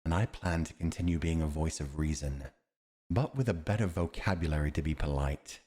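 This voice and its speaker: Male actor as steadfast fantasy war commander: very low voice, formal, measured, honourable. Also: warm tone. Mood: cool, candid. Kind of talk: fantasy audio roleplay acting.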